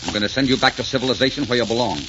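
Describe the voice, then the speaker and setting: An actor playing a proud, commanding desert sheik in a 1940s radio drama, with an imperious, teasing way of talking. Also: male voice, medium pitch, very fast, lo-fi sound, natural voice. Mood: grave, bitter. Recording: noisy, crackling 1940s radio recording.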